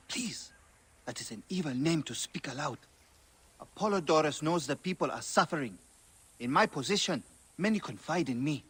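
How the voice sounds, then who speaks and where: An actor playing a guarded, fearful merchant brewer who speaks like a grave storyteller in a dramatic game cutscene. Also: male voice, a little high, bright, forceful tone, slightly rough, exaggerated voice. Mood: hushed alarm, then grave, weary.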